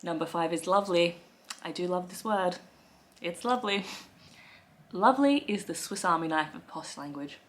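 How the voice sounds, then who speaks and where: A woman in her thirties with an Australian accent, chatting wryly to camera from her living room, with soft laughs and fillers. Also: fairly low pitch, moderate pace, long pauses, slight background noise. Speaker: lifestyle vlogger. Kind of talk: casual talk to camera.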